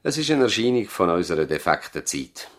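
An actor with a Swiss German accent playing a dignified, idealistic family doctor in a 1970s radio play, solemn and deliberate. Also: male voice, low voice, sing-song, a bit bright. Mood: solemn, fervent.

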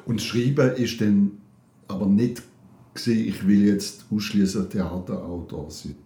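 A man with a lowish voice conducts a conversational interview. He feels his way into each point, phrasing it tentatively. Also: steady tone, unhurried, dark tone.

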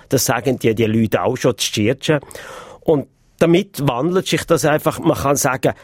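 A man's voice: medium pitch, no echo. Hesitant Swiss German man, dry close mic. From Graubünden, Switzerland. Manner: hesitant, searching for words with restarts. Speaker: association president interviewed. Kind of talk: radio interview answers.

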